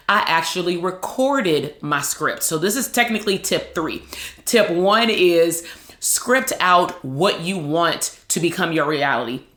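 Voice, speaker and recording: A woman in her thirties with a loud voice, hosting a casual motivational talk as a psychologist and branding coach, lively and conversational with quick asides. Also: fairly low pitch, roomy sound.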